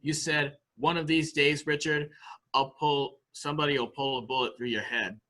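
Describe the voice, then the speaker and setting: A man playing an excitable, guileless fellow who speaks with childlike eagerness in a murder mystery reading. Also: on the high side, normal speed, slight background noise. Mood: excited. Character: excitable, guileless male household member blurting things out.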